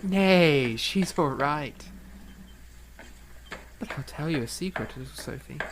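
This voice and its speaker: A woman playing a playful, teasing young gentleman composer in a period audio drama, with flowery, courtly speech. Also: low-pitched, expressive, slow pace, a little hiss or hum. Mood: playful, confiding.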